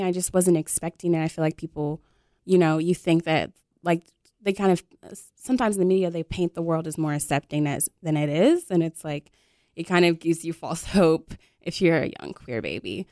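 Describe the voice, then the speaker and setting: A woman's voice: fairly low pitch, moderate pace, warm tone, dry recording, studio quality. A woman who grew up in Detroit, telling her personal story in casual talk, often restarting her sentences. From Detroit, Michigan, US.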